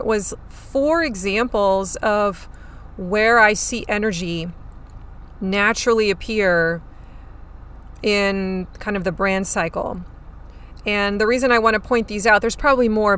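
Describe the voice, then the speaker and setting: A woman hosting a solo podcast on farm marketing, her voice a little hoarse and scratchy, talking in an upbeat, chatty way while chewing gum. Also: medium pitch, a bit bright.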